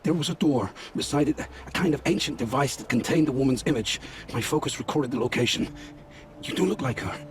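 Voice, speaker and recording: A man playing a guilt-ridden scavenger scout in a video game, speaking gravely and with haunted, poetic phrasing. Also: mid-range voice, fairly quick, background noise, close to an everyday voice. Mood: explaining, uneasy. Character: guilt-ridden male scavenger scout, forced informant, begging for family. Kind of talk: dramatic video game acting. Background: background music.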